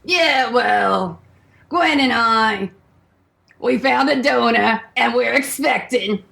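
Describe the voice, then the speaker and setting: Woman playing a creaky-voiced, streetwise police-records insider. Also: fairly high pitch, slow, a bit bright, full character voice. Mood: wry, hesitant. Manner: folksy, blunt, streetwise. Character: streetwise, wary female records insider, wry and tough. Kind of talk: radio play acting.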